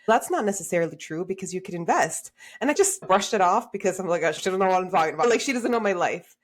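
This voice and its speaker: Woman in her thirties, from Honduras, career coach guest, animated storytelling, frequent fillers, lowish voice. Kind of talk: podcast interview conversation.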